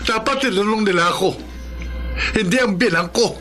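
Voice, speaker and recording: A man's voice: high-pitched, normal speed, bright, forceful tone. A Filipino man acting a grieving, stubborn husband in a radio melodrama, anguished and insistent. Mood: disbelieving, anguished. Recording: background music under the voice.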